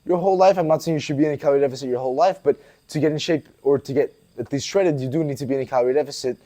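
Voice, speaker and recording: A man's voice: fairly high pitch, racing, mellow. A man, a fitness coach answering viewer questions in a blunt, casual way, swearing and calling the viewer bro. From Portugal. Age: 20s. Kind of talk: casual Q&A vlog.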